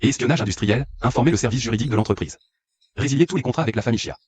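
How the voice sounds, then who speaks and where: A man's voice: medium pitch, racing, a little mellow, breathy edge, lightly acted. Man dubbing a cold commanding CEO. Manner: curt, commanding orders. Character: cold commanding young male CEO, lovesick. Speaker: cold company boss. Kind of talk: dubbed drama acting.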